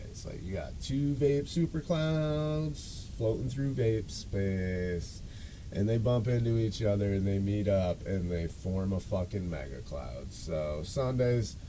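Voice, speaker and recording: Low, mellow man rambling over rumbly noise. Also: rough recording. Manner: informal, self-deprecating, swears casually. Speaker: podcast and show host. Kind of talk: casual rambling storytelling to followers.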